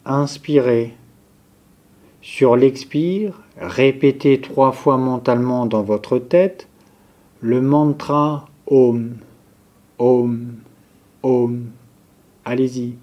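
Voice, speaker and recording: Male meditation guide: mid-pitched, dark relaxed tone, unhurried, calm instructional delivery. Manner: calm, measured, instructive, listing step by step. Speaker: yoga nidra instructor.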